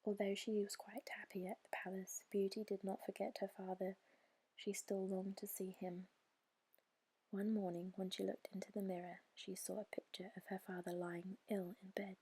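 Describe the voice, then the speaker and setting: A woman whispers a fairy tale as ASMR. Her voice is extremely breathy, with almost no full tone. She reads softly and soothingly, keeping the pitch very even and unhurried. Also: no room sound, poor audio. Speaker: storyteller, ASMR channel host. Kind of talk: whispered ASMR bedtime story reading.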